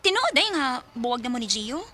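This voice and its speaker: A nosy, bright-voiced girlfriend. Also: on the high side, big pitch swings, a little fast, full character voice. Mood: curious. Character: chatty teenage girl friend, curious. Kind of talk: radio drama acting.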